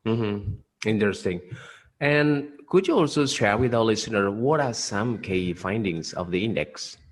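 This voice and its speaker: A man's voice: lowish voice, expressive, measured pace. A man hosting a podcast, asking short questions with hesitant fillers. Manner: brief prompts with hesitant fillers. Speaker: podcast host. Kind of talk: interview hosting.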